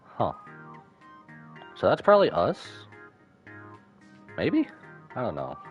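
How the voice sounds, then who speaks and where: A male game streamer with a lively, widely swinging pitch gives casual reaction commentary. He mixes muttered asides with exclamations, with some music faintly behind him.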